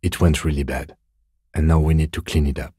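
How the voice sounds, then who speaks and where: An actor playing a composed, dry police lieutenant in a video game, speaking softly in a measured, restrained deadpan with a slightly husky voice. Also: male voice, extremely low pitch, no room sound. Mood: firm, steadying. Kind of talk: video game dialogue acting.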